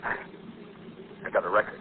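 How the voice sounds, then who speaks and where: An actor in 1940s American radio drama playing a frightened, rough carnival giant who pleads in halting, desperate slang. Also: male voice, phone-quality sound, natural voice. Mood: desperate pleading. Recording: noisy, thin 1940s radio broadcast recording.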